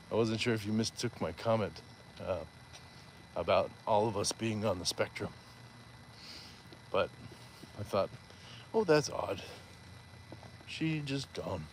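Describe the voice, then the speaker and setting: A man's voice: lowish voice, slight background noise. A man hosting a live stream while walking outdoors, chatting casually and reading viewer comments aloud with playful asides. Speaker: livestream host.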